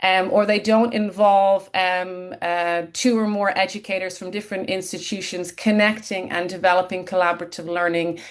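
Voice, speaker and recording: A woman's voice: on the low side, level tone, moderate pace, few pauses. An Irish woman, a lecturer and researcher, presenting a keynote over a video call, explaining at length with many ums. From Ireland. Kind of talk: webinar presentation.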